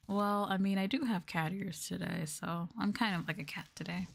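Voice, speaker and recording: Female ASMR streamer: gentle, casual soft talk with fry, near-whisper at times, crinkly trigger sounds and faint noise behind. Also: mid-range voice. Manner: soft-spoken, often dropping into whispers. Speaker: VTuber streamer hosting an ASMR livestream. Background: crinkling plastic and tapping trigger sounds.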